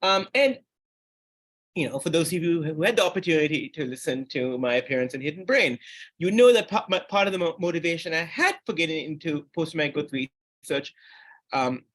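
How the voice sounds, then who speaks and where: A man's voice: fairly high pitch, expressive, brisk, a bit bright. A middle-aged man from Sri Lanka giving an academic seminar lecture on psychology, speaking with frequent restarts and rising emphasis.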